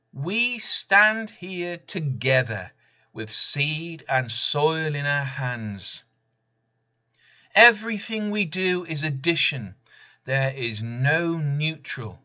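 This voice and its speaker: A man reading his own poem deliberately, in short emphatic phrases with pauses. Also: on the high side, sharp tone, muffled recording. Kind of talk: poetry recitation.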